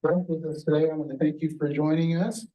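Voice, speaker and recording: Man, slightly hoarse, board chair, very dark tone, methodical procedural delivery. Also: on the high side, flat delivery, fast talker, rough recording. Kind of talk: chairing a public meeting.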